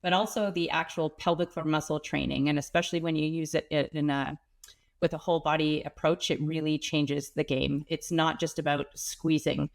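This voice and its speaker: A woman's voice: low voice, fairly even intonation, moderate pace, vocal fry. A woman with a stuffy nose from a cold, a pelvic health expert speaking as an interview guest. She explains in a practical, plain-spoken way.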